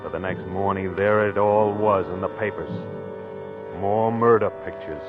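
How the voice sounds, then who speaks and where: An actor playing a haunted, obsessive husband in a halting, confessional 1940s radio drama style. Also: male voice, sits low, fairly even intonation, over music, natural voice. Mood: brooding, haunted. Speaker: actor narrating in character. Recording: muffled old 1940s radio recording.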